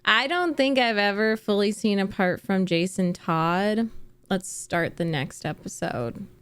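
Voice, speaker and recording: A woman's voice: mid-range voice, a little slow, fairly bright tone, clean tone. A young woman hosting a reaction video, chatting casually, giggling and musing aloud.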